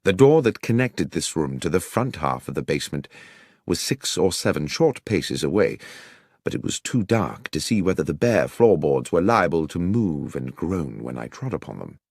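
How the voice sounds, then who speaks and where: A British man reading a detective story as a dramatic audiobook narrator, in a measured, literary and expressive storytelling manner. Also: rumbling low, creaky, husky, no echo, studio quality.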